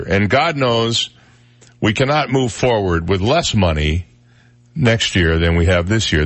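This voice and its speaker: A man's voice: on the low side, fairly bright tone, no echo. A man hosting a casual morning radio talk show, wry and conversational with lots of filler words, sounding a little stuffed up in the nose. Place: radio studio. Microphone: studio microphone.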